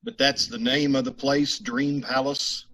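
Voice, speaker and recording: Man voicing a police detective, husky voice, medium pitch, courteous, matter-of-fact, old-time radio. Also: little pitch movement, unhurried, sharp tone. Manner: level, courteous, businesslike questioning. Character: calm, polite police detective questioning a witness.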